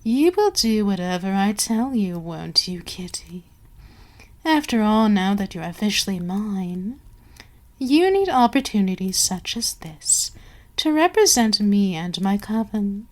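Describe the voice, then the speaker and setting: A woman playing an imperious, seductive and menacing vampire queen in an ASMR audio roleplay, haughty and deliberate, with some words sinking into a whisper. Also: medium pitch, big pitch swings. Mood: commanding, menacing. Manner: slow, deliberate, haughty, commanding, dropping into whispers.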